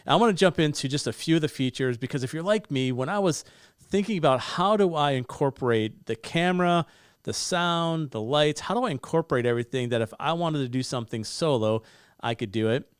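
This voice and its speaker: A man's voice: a little high, lively intonation, fairly quick, clear, resonant tone, dry recording. A man in his forties giving an upbeat, hands-on gear review from his home studio, close on a broadcast microphone. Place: home studio with bookshelves and blue lighting. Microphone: studio broadcast mic on boom arm, close.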